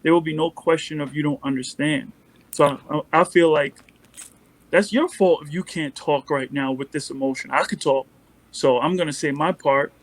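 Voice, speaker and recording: A man with a mellow voice, a guest on a casual podcast, speaking in a calm, measured and reflective way. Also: a little high, poor audio.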